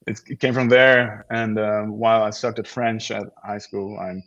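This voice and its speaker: A man with a fairly low voice and a near-American accent, a native Dutch speaker. He talks loosely and slangily, working out his thoughts as he goes in a casual interview. The audio is a little muffled and lo-fi. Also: little pitch movement, normal speed, a bit bright. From Netherlands. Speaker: actor, interview guest.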